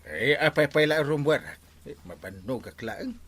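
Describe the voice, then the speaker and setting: An actor playing a flustered, evasive village captain in a comedic radio drama, hesitant and stammering with squeaky exclamations. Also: male voice, expressive, moderate pace, a bit bright, husky.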